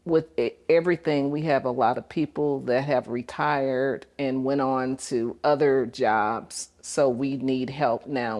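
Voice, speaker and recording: A woman's voice: extremely low pitch, darkish tone. A woman giving plain, matter-of-fact answers as a police dispatch staffer in an interview.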